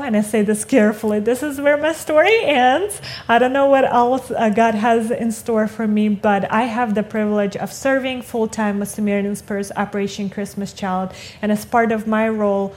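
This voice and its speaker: A woman's voice: medium pitch, darkish tone. Earnest woman, native Russian speaker. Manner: earnest, heartfelt. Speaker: guest speaker, ministry staff. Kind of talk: church testimony talk. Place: church stage before a congregation.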